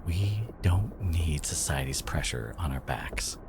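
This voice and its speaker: A man playing a devoted, protective boyfriend in an intimate ASMR audio roleplay, speaking haltingly with sighs and whispers, emotional and tender. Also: a bass voice, expressive, noisy background, exaggerated voice. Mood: tender, reassuring. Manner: halting, sighing, slipping into breathy whispers.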